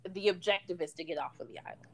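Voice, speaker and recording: Casting: twenties woman, podcast co-host, bubbly, laughs often, lively sing-song pitch. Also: very fast, vocal fry. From Chicago, Illinois. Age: 20s. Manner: bubbly, laughs a lot, animated swings in pitch and volume. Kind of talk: casual chat.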